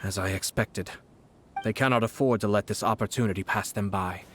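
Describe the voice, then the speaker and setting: A man voicing a calm, shrewd young nobleman in a video game who speaks in a measured, analytical way. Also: on the low side, a little hiss or hum, close to an everyday voice. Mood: composed. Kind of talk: game voice acting. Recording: game audio over loud background music.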